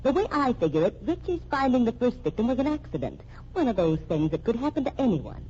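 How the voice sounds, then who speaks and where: A woman's voice: fairly high pitch, big pitch swings, quick pace, dark tone, a little hiss or hum. An actress playing a shrewd, sardonic female investigator in a mystery radio drama, with pointed, wry delivery. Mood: accusing. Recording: dull, band-limited old radio recording.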